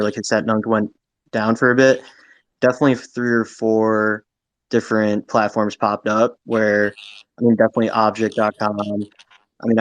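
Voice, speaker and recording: A man's voice: fairly low pitch, no echo, low-quality recording. A man giving his opinion informally and reflectively in a casual live audio chat. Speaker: panel participant.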